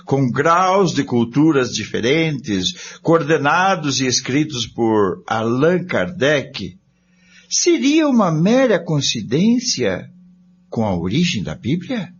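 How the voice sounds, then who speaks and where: Slow, expressive Brazilian man hosting. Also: mid-range voice. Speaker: host of a spiritist study programme. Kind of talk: scripted commentary, addressing listeners. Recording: dull lo-fi sound with some noise.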